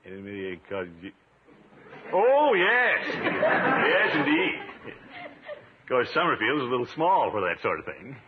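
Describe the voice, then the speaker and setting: A man playing a pompous, genial middle-aged uncle with hearty, drawn-out exclamations in a 1950s radio comedy. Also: medium pitch, huge pitch swings, muffled recording. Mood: eager to please. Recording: noisy 1950s radio broadcast recording.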